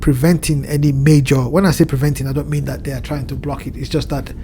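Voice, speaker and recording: Nasal, congested man with a dark voice. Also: mid-range voice, little pitch movement, a little hiss or hum. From Southern Hemisphere. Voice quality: slightly nasal. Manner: measured, explanatory, with frequent ums and restarts. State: blocked nose from a cold. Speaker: crypto market analyst. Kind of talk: screen-share chart walkthrough. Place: home at evening, indoors.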